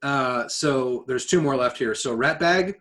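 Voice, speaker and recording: A man's voice: medium pitch, unhurried, fairly bright tone. A man co-hosting a casual podcast chat, speaking his opinions freely with plenty of swearing. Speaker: podcast co-host. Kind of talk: casual podcast chat about films and games.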